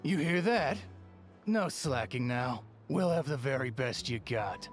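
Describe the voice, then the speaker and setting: A man playing a sneering, menacing soldier bully in a video game, with gravel in the voice and a drawl. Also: mid-range voice, lively intonation, unhurried, faint music behind, lightly acted. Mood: mocking. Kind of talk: video game villain acting.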